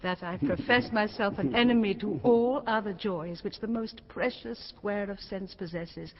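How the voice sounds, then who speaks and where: An actress playing a competitive, flattering princess in a classical Shakespearean stage drama, speaking formally and effusively. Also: female voice, medium pitch, normal speed, lightly acted. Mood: ingratiating, competitive. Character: competitive, flattering princess, a king's middle daughter. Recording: muffled, reverberant hall sound.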